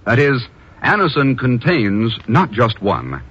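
An American man announcing in formal, emphatic 1950s radio style, delivering scripted sales copy and narration in measured phrases. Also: mid-range voice, wildly swooping pitch, fairly bright tone, breathy edge. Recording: muffled old radio broadcast.

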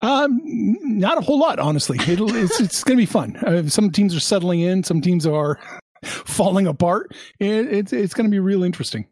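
High, husky man, animated. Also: normal speed, no room sound, studio quality. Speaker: sports betting panelist. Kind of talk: casual chat.

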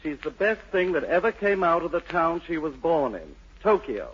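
A man's voice: a little high, animated, normal speed, heavy background noise. A man presenting a 1940s radio programme in a formal, promotional, scripted manner. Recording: muffled 1940s radio broadcast.

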